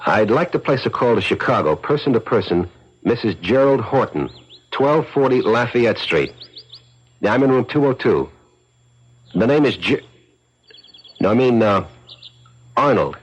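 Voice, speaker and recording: An actor playing a scheming, fast-talking, hard-boiled crook in a 1970s radio drama, slangy and insistent. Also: male voice, medium pitch, expressive, husky. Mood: guarded, brisk. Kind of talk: 1970s radio drama acting, with first-person narration. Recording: muffled 1970s radio broadcast, music underneath.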